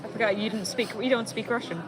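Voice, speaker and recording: A woman's voice: on the high side, fast talker, background noise. A young woman hosting a convention fan panel, chatting casually, joking, laughing and swearing into a handheld mic. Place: convention panel room indoors. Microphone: handheld microphone. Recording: room with loud crowd chatter. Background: chattering audience crowd.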